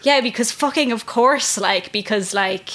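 A woman's voice: a little high, unhurried, a bit bright. A young woman from Ireland, hosting a podcast in a loose, chatty way full of likes and ums. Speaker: podcast host. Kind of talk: casual podcast chat.

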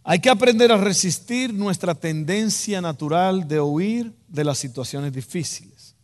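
A man's voice: sits high, animated, measured pace. Dominican man preaching in a reverberant hall. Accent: Dominican Spanish. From Dominican Republic. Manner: emphatic, repeats key phrases, direct address. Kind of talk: sermon to a congregation.

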